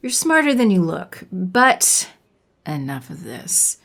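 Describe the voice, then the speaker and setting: A haughty sorceress villain for an audio drama. She draws her words out slowly and swoops theatrically through them, condescending and full of menace. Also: lowish voice, big pitch swings, creaky, fairly bright tone, heavily acted. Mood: dismissive.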